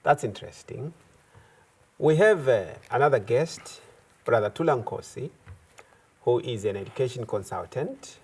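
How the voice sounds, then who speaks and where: Husky guy hosting a talk show, pitch jumps around a lot, slow careful questions. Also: expressive. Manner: measured, deliberate phrasing. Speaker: host.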